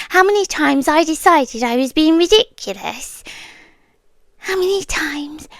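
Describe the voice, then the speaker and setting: A British woman in an ASMR roleplay, voicing an obsessive young woman who has kidnapped the man she loves. She speaks in soft whispers and muses slowly and calmly. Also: high voice, no room sound, full character voice. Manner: soft whispering, slow musing monologue.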